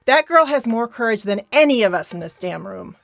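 A woman's voice: fairly high pitch, animated, a little fast, vocal fry, fairly bright tone, dry recording. A woman playing a fiercely protective, pleading survivor in an audio drama. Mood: angry. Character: fierce, pleading woman defending missing youngsters.

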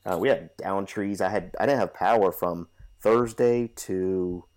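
Nasal, stuffed-up male podcast host, low voice, rambly and casual. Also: a little slow, a little mellow. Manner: rambling, many fillers and restarts. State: stuffy from a cold. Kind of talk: casual chat.

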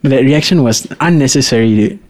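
A man's voice: mid-range voice, fairly quick, darkish tone. A young man, the show's producer, appearing as a guest and chatting in a sheepish, self-mocking way. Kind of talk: casual chat.

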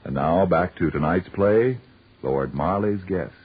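A man's voice: deep voice, expressive, unhurried, very noisy. A man working as a 1940s radio announcer, formal and emphatic as he delivers scripted sponsor copy. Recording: tinny 1940s radio recording.

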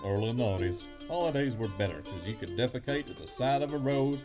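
A man putting on a brash, swaggering showman character with a gruff music-hall delivery. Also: mid-range voice, expressive, a little fast, natural voice. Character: brash working-class male showman Booster Kush.

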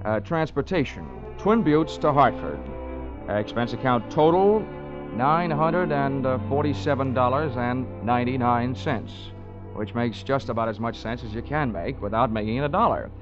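Man acting wry male private investigator, 1940s radio drama, glib and deadpan, middle pitch, dull old recording. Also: normal speed, over music, close to an everyday voice. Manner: glib, deadpan reading of expense items. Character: wry, wisecracking male freelance insurance investigator.

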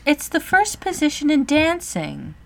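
A woman playing a polite, puzzled, eager young girl with expressive storybook delivery. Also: sits high, measured pace, creaky, full character voice. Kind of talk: storybook character acting and recitation.

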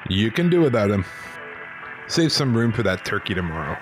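An actor playing a weary, cynical New York police officer in an audio drama, wry and sardonic. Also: male voice, lowish voice, sing-song, normal speed, music in the background, poor audio.

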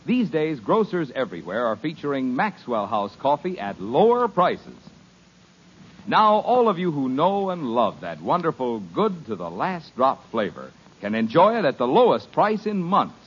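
Male announcer, vintage radio ad, upbeat, lively pitch, muffled lo-fi sound. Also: normal speed. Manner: smooth, upbeat sales pitch. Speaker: commercial announcer. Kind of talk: 1950s radio ad read. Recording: dull lo-fi old radio recording, faint hiss.

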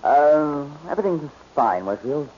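Male role, 1940s radio drama: excitable, easily rattled fellow, animated pitch, dull hissy recording. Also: unhurried. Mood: nervous, flustered.

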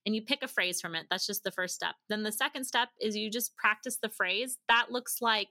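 A woman's voice: mid-range voice, fairly quick, bright, forceful tone, dry recording. A woman speaking as a podcast guest, chatting and telling stories conversationally, acting out bits of dialogue as she explains. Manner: chatty, acts out quoted dialogue. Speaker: podcast guest, language-learning company founder. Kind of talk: animated conversational storytelling and explaining.

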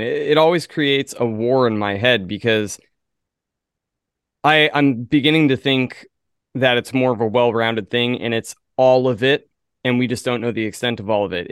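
A man's voice: mid-range voice, clear, resonant tone, no room sound. A man with a mid-range voice thinks aloud in casual conversation. He sounds like a podcast co-host musing over ideas. The sound is very dry. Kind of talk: casual chat.

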